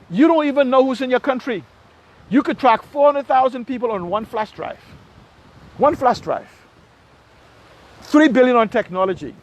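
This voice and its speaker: A man with a Bahamian accent speaks in a notably high voice for a male. He comes across as an impassioned political commentator. His delivery is emphatic, leaning on repetition and pointed rhetorical questions to press each point. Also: low-quality recording.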